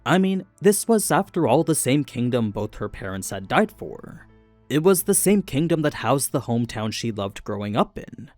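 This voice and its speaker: A man's voice: mid-range voice, very animated, normal speed, a little mellow, no echo. A man reading a scripted story recap in an explanatory storytelling manner, stressing the turns in the tale. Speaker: narrator of anime lore recaps. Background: background music.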